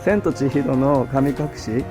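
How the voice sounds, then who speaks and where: Casual vlog host: Japanese man, native Japanese speaker with a Japanese accent, speaking on the go under loud music. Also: medium pitch. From Toyama, Japan. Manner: halting, searching for words in English. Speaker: travel vlogger. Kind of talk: casual on-the-spot travel narration and chat.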